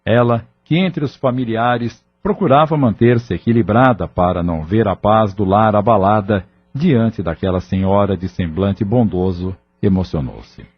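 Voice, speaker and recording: Low, husky Brazilian male narrator. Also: unhurried, hardly any pauses. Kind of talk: scripted audio drama narration. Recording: dull lo-fi audio drama recording.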